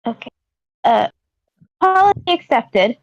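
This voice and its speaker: A woman's voice: a little high, very animated, measured pace, no room sound. A woman playing a defiant, squeamish young witch apprentice in a screenplay table read. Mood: sullen. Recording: muffled online meeting audio.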